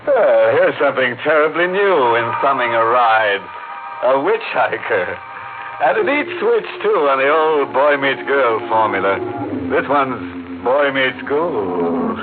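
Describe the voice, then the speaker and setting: A man's voice: medium pitch, sing-song, background noise. A man playing a macabre, punning radio horror host with an arch, mocking manner, in 1950s style. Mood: gleefully macabre. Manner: arch, mocking, drawn-out delivery. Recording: muffled old radio recording, music behind.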